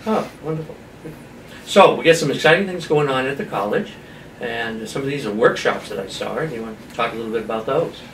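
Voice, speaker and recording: A male host of a local interview show, heard at some distance in an echoey room with a little noise. He speaks in an informal, halting way, often pausing mid-sentence. His voice sits at an ordinary middle pitch. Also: fairly bright tone. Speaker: talk show host, chamber of commerce representative. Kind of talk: informal community interview programme. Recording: roomy echo, distant mic, background noise.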